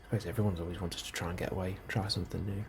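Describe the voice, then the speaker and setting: Very deep, soft-spoken gravelly man. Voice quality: soft, breathy, often near whisper. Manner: unhurried, hesitant, politely understated. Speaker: ASMR role-play performer as colony immigration officer. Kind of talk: soft-spoken ASMR role-play interview. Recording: steady ambient noise behind the voice. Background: computer and ambience sounds.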